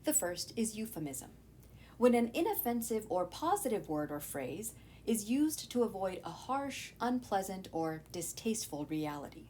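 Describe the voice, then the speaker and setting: Animated woman narrating a lesson. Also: on the low side, slight background noise. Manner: explanatory, addresses students directly. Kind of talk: scripted educational narration.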